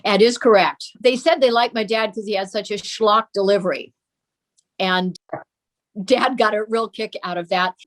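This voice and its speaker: Older female interview guest, medium pitch, measured and anecdotal, looking back on family history. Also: steady tone, slight background noise. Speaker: interview guest recalling a parent's radio career. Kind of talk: conversational reminiscence.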